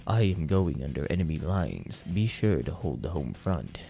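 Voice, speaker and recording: A man's voice: rumbling low, very animated, vocal fry, dark tone, soft background music, exaggerated voice. A man voicing a stern, solemn, patriotic cartoon cat hero in an absurd storybook reading. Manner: slow, solemn, melodramatic delivery. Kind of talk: absurd fan fiction audiobook reading.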